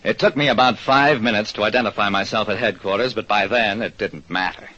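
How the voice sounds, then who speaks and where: A man's voice: on the low side, a little fast, sharp tone. An actor playing a hard-luck, wry, world-weary private detective who narrates his case in an old radio drama. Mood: resigned, rueful. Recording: dull, noisy old radio recording.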